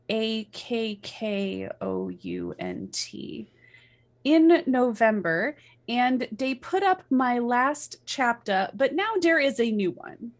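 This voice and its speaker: A woman reading fan fiction aloud and chatting casually with the other readers. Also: a little high. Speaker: reader in a livestreamed group fanfiction reading. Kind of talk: dramatic reading mixed with casual chat.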